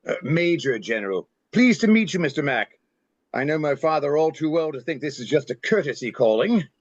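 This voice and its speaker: A man's voice: a little high, big pitch swings, fairly quick, no room sound. A man playing a stern, curt Civil War general in a table reading, speaking formally and with clipped authority. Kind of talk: amateur play table reading. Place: online video-call reading. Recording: dull, dry video-call sound.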